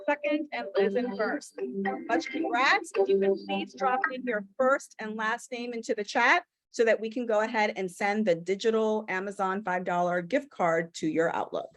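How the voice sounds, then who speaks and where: A woman's voice: mid-range voice, normal speed. A woman presenting a training session over a video call, chatty and upbeat with frequent fillers. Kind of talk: casual webinar presentation.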